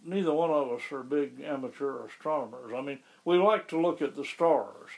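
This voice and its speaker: A man being interviewed about the town where he lives, explaining things in a measured, conversational way. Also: mid-range voice, gravelly, low-quality recording. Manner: measured, explanatory, with occasional restarts. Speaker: interviewee, local resident.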